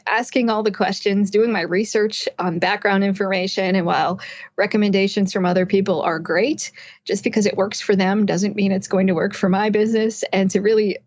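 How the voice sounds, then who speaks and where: A woman's voice: medium pitch, steady tone, normal speed, no echo. Woman guest on dry, dull call audio. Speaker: interview guest, psychotherapist and author. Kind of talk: conversational storytelling in an interview. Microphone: internet voice call.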